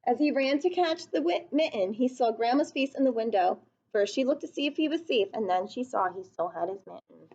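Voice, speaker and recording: A woman with a fairly high voice reads a picture book to little kids. Her delivery is expressive and aimed at children, with chatty questions along the way. The recording is slightly dull and lo-fi. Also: brisk. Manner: child-directed, expressive, asking the listeners questions. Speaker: storyteller reading aloud to young children.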